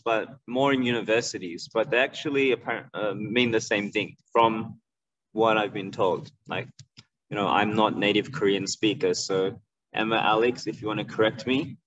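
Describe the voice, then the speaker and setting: A middle-aged man giving an informal online lecture as an instructor, explaining in a halting way and often checking in with the listener. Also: medium pitch. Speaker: martial arts instructor and school owner. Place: indoor room, on a video call. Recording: dull, lo-fi video call audio.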